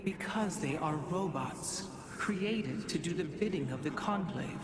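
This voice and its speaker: A man's voice: high voice, expressive, a little slow, a crowd in the background. An actor playing a skeptical, wary male alien warrior in a video game cutscene, speaking formally and pointedly. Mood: disapproving.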